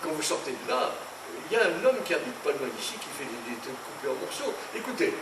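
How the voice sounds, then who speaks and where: An older man from France talks about painting in a lively, swooping voice, often indignant and wandering off into stories. He sounds higher than most men. He is heard from a distance in an echoey room with steady noise behind him. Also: fast. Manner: animated, indignant, digressive storyteller. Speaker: painter giving a talk. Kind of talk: rambling lecture. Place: conference hall.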